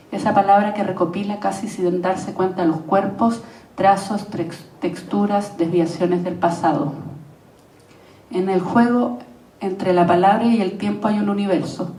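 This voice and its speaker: A Chilean playwright and actress reading a prepared text at a panel, measured and reflective, pausing through long lists. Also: female voice, medium pitch, warm tone, far from the mic. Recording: echoey room, voice from off-mic.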